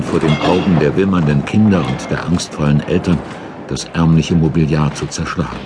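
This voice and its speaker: A man's voice: rumbling low, noisy background. A man giving dramatic, scripted audiobook narration as the storyteller of a historical radio play. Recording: narration over battle sound effects.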